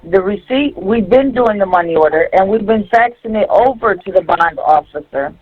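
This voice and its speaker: A woman's voice: medium pitch, lively intonation, normal speed, highs cut off. A woman in a casual phone conversation, explaining and instructing step by step over a recorded jail line. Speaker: caller on a jail phone call. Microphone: telephone.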